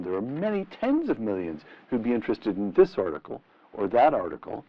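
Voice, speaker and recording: A middle-aged man interviewing in a deliberate, rhetorical and emphatic way, on a lo-fi vintage radio style recording. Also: lowish voice. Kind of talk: long-form interview questioning. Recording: dull, lo-fi vintage radio sound.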